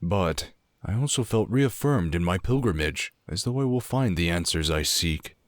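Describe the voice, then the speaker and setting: A man's voice: sits low, expressive, normal speed, no room sound. A man playing an earnest, reflective druid pilgrim in a fantasy audio drama, thoughtful and formal in speech.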